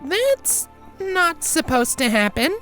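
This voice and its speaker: Young female alicorn sorceress, played by a man: very high, lively, precise, a touch indignant; fantasy storybook, music underneath. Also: slow, full character voice. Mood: bewildered. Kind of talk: character voice in fan fiction reading.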